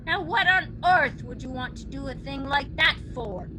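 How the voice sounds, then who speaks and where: An actress playing a nagging, self-pitying, fearful wife in a melodramatic 1940s radio drama style, shrill and complaining. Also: female voice, animated, moderate pace, background noise. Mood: irritated, complaining. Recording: old 1940s radio recording, loud music.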